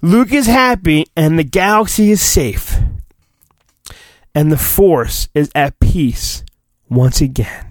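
A man's voice: on the high side, lively intonation, slow pace, long pauses, dry recording, clean studio sound. A man hosting a podcast, giving a mock-dramatic reading of a children's storybook and breaking off into cheeky, joking asides. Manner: theatrical, juvenile innuendo, breaks off into asides. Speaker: podcast host.

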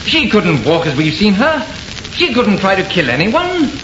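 Passionate, disbelieving artist, slightly high voice, melodramatic, echoing vintage radio horror. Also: male voice, huge pitch swings, moderate pace. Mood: agitated. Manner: melodramatic, emotional. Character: sensitive, disbelieving male artist, passionately protective. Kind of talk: 1930s radio horror acting. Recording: muffled, noisy, echoing old radio recording.

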